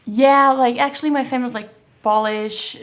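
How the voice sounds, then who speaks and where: A woman's voice: high voice, fairly even intonation, moderate pace. A young Brazilian woman, a native Portuguese speaker with a Brazilian accent, chatting as an interview guest, hesitant, with many filler words and frequent laughs. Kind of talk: casual interview chat.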